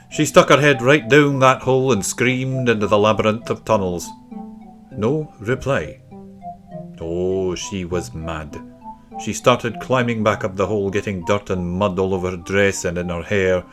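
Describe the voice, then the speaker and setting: Low-voiced man narrating a children's story. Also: a little slow, fairly bright tone. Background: faint music.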